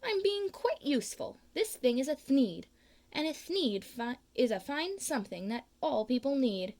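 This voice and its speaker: A woman voicing a grumpy, secretive old hermit man with a lowered, hushed voice, read from a storybook. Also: very animated, normal speed. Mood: eager, salesy.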